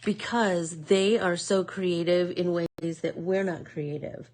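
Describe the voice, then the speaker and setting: A woman's voice: fairly low pitch, fairly even intonation, slow, darkish tone. A woman with a Midwest accent hosting a live art class, chatting casually and rambling with lots of fillers. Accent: American Midwest. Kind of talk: casual chat while painting.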